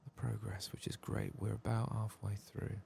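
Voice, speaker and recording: A man doing soft-spoken, whispered ASMR game commentary in a hushed, breathy, gravelly voice, murmuring without hurry. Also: lowish voice, little pitch movement, normal speed, slight background noise. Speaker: ASMR game playthrough host.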